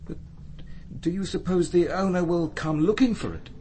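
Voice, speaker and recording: An actor playing a mild, courteous, slightly hesitant parish priest in a radio drama. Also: male voice, sits high, moderate pace, mellow, slight background noise, close to an everyday voice. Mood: anxious. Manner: polite, hesitant.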